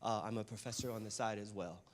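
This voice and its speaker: A man's voice: on the low side, fairly even intonation, quick pace. A man from the southern United States introducing himself informally as a guest coach on stage. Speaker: guest speaker, life coach. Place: stage at a live event.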